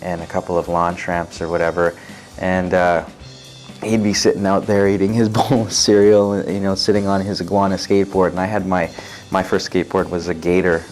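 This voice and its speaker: Low, even-voiced man telling stories. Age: 30s. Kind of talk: casual storytelling in a documentary interview. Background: instrumental music with guitar.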